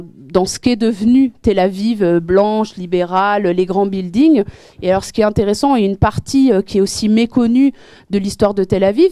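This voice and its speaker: A woman's voice: mid-range voice, warm tone, no echo. A woman giving an explanatory talk with slides as a guest speaker, earnest and full of hesitations and restarts. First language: French. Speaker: guest speaker at a public debate.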